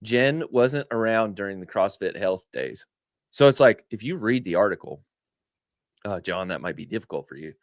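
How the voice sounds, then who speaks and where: A man's voice: on the low side, lively intonation, normal speed, dry recording, clean studio sound. A married man co-hosting a podcast, wry and teasing in casual chat, keen on a good argument. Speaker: podcast co-host.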